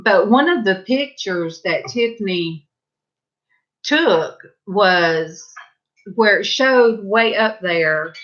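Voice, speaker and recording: A woman's voice: mid-range voice, expressive, slow, a bit bright, dull audio. A middle-aged woman with a Southern US accent, chatting casually at home and rambling to her viewers while she paints. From Southern California. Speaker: vlogger. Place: home, indoors.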